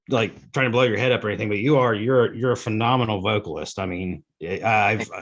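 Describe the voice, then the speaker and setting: A man's voice: lowish voice, a bit bright, dull audio. A man hosting a casual interview over a video call, enthusiastic and folksy with loose grammar. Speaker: interview host.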